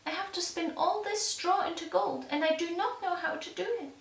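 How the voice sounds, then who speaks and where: Woman playing a plaintive, high-voiced young maiden. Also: moderate pace, gravelly. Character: timid, distressed young miller's daughter. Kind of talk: storytelling for a children's puppet show. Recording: roomy, distant mic, faint noise.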